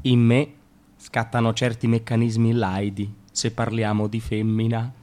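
A man playing a leering policeman who pesters a woman, with a low, sleazy murmur. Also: monotone, measured pace, dark tone, natural voice. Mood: lecherous. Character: leering male policeman, pushy stalker. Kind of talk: radio drama acting.

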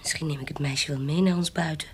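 An actress playing a shrewd, defiant adventuress in an audio period drama, guarded, cool and commanding. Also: female voice, sits low, steady tone, fast, slight background noise, lightly acted. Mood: wary. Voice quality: husky. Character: shrewd, defiant adventuress, forger and courtesan posing as noble. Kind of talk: audio drama acting in Dutch period piece.

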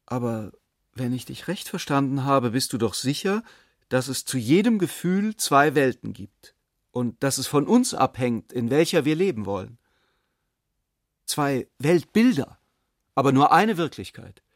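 Thoughtful intellectual, measured, questioning, radio play, dry studio sound. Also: male voice, medium pitch, big pitch swings, dry recording. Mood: searching. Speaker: actor in radio drama. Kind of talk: literary radio drama reading.

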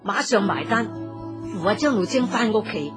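A woman's voice: medium pitch, expressive, normal speed. A woman narrating a story theatrically, addressing her listeners in a dramatic scripted style. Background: music.

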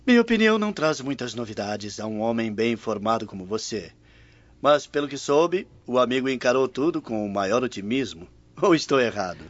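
A man's voice: mid-range voice, sing-song, brisk, no room sound. A man playing a compassionate, critical Roman nobleman in a radio drama, speaking in a grave, declamatory manner. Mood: urbane, probing. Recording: muffled radio drama with background music.

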